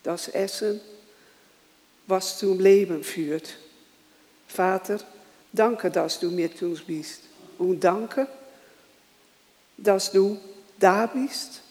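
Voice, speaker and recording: A worship leader who welcomes the congregation, prays and reads scripture in a slow, halting way, with small grammar slips. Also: medium pitch, a little mellow, big-room sound. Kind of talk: church welcome, prayer and scripture reading.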